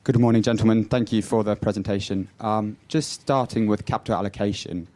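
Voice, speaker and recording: A man's voice: on the low side, fairly even intonation, fairly quick, mellow, no echo. A man speaking as a financial analyst, politely putting questions on an earnings call.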